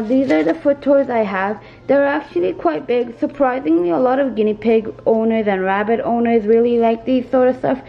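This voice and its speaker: Raspy-voiced young woman touring her studio. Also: fairly high pitch, mellow, lo-fi sound, a little hiss or hum. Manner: chatty, self-deprecating, filler-heavy. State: raspy from the flu. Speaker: small shop owner giving a studio tour. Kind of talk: casual video tour. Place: home craft studio room.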